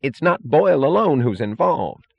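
A man's voice: fairly high pitch, wildly swooping pitch, moderate pace, no room sound, muffled recording, voice pushed up. A man voicing a timid, appeasing official with a pleading manner.

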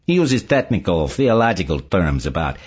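A man's voice: low voice, sing-song, moderate pace, breathy edge, voice pushed down, full character voice. A man voicing a confident, eager male investigator in audiobook dialogue, explaining emphatically, on a dull lo-fi recording.

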